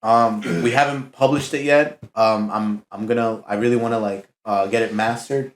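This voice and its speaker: A man's voice: fairly low pitch, fairly even intonation, normal speed. A young man chatting as a podcast guest in a laid-back, slangy way, full of fillers. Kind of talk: casual chat. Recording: echoey room.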